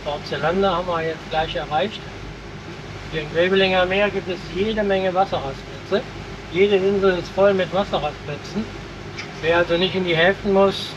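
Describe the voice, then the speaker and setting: A man with a cold, calmly narrating a boat trip outdoors on a headset mic. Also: on the high side, muffled recording, noisy background. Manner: matter-of-fact, commenting on the route. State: has a cold. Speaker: boat vlogger. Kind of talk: casual narration while boating. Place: outdoors on a motorboat.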